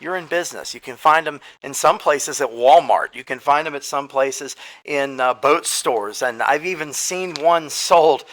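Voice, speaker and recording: Guy doing an informal gear show-and-tell, bright punchy voice, explains stuff with real emphasis. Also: medium pitch. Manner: emphatic, explanatory, talks straight to viewers. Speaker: storm chaser presenting radio gear. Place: garage partly sunk into the ground.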